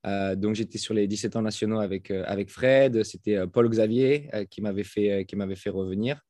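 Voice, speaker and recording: A French man who just turned thirty, guest on a podcast, speaking in a halting way full of fillers and restarts. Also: on the low side, dark tone, dry recording, clean studio sound. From France. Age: 30s. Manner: hesitant, many fillers and restarts. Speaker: guest, fitness coach. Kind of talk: casual interview talk. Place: home in Florida, over a call.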